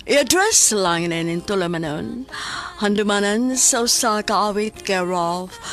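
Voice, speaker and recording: Woman radio announcer, Cebuano Filipino accent, medium pitch, scripted delivery, faint music underneath. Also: lively intonation, measured pace, a bit bright.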